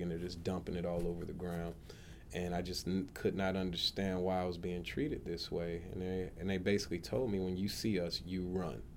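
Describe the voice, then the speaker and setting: A man in his thirties from the Atlanta area gives personal testimony as a public defender, speaking in an earnest, deliberate and emphatic way. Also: sits low, darkish tone, slight background noise. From United States, Atlanta area. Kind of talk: personal testimony, autobiographical storytelling.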